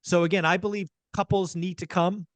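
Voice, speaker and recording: A man's voice: high voice, fairly even intonation, fairly quick, no echo. A man hosting a podcast, talking in an upbeat, promotional way about his event. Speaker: podcast host, event organizer.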